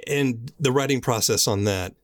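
A man's voice: mid-range voice, moderate pace. A man hosting a conversational interview, speaking in a reflective and reassuring way. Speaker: interviewer, host.

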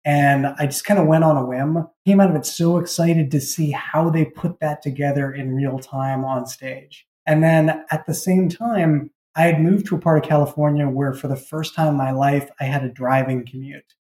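A man's voice: a little high, fairly even intonation, moderate pace, hardly any pauses, dark tone. A man, a writer, telling his story conversationally in a casual interview. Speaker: writer and audio drama creator. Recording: roomy, echoey sound.

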